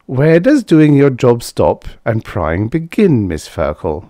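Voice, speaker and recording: A man's voice: mid-range voice, slow, dry recording, studio quality, pitched above the performer's own voice. A man doing a cool, wry diplomat. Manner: calm, wry, understated. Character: cool, dry-witted male diplomat.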